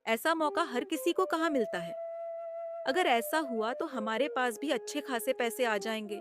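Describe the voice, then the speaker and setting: A woman's voice: high-pitched, moderate pace, natural voice. A woman voicing a caring farmer's wife. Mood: happy. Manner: polite, deferential. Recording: background music under voice.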